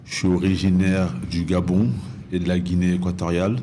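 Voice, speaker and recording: A man's voice: low voice, monotone, fairly quick, warm tone, a little hiss or hum. A man in his thirties from northern France telling his own story as a podcast guest, hesitating and repeating phrases as he explains. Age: 30s. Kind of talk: personal storytelling in an interview.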